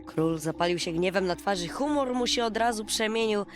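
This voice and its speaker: A woman storyteller reading a bedtime fairy tale in an expressive, dramatic, folksy way. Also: medium pitch, normal speed. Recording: music behind the voice. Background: music.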